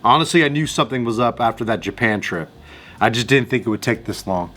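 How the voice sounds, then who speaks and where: Bright-voiced man reading lines casually. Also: mid-range voice, expressive, fast. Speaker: show co-host reading parts. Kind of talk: casual line reading and comments.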